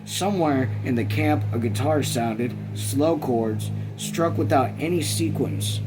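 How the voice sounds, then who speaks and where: A man's voice: medium pitch, measured pace, music in the background. A man hosting a read-along, reading a novel aloud informally and breaking off to comment. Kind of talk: casual audiobook reading with asides.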